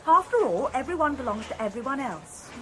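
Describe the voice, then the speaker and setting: A prim gossipy girl nagging her friend, high and swoopy. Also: very animated, brisk, background noise. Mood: gossipy scolding. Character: gossipy conventional young woman lecturing her friend.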